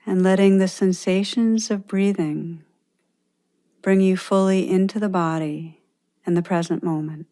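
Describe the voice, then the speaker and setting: A woman meditation teacher leading a guided meditation with gentle, unhurried instructions and pauses. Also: medium pitch, steady tone.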